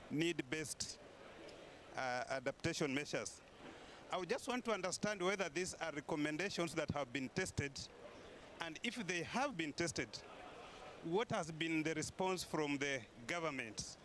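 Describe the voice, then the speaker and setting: A man putting a formal question to a panel, with hesitant, drawn-out phrasing. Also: fairly high pitch, fairly bright tone, slight background noise. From East Africa. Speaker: audience member questioning a panel.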